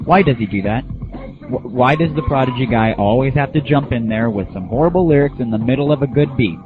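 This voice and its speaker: A man's voice: medium pitch, expressive, a little fast, music in the background. A man hosting an internet audio show, giving casual, opinionated solo commentary with irreverent, crude humor. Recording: thin phone-like early internet audio.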